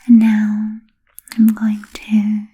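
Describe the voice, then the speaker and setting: An ancient, genteel vampire lady played by a woman whispers in a breathy, husky hush for an ASMR roleplay. She is fussy and politely absent-minded, drawing out formal phrases very slowly with hesitant gaps. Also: mid-range voice, monotone, heavily acted. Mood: gracious, musing. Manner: halting, hesitant, formal old-fashioned phrasing.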